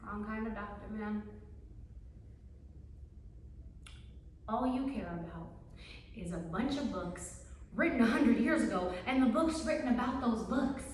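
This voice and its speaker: A woman's voice: a little high, lively intonation, normal speed, close to an everyday voice. A woman playing a brash, defiant young student who needles her teacher in a sarcastic, slangy stage-play manner. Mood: mocking. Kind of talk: one-act stage play acting. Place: live stage performance, recorded from afar. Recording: echoey room, distant mic, faint noise.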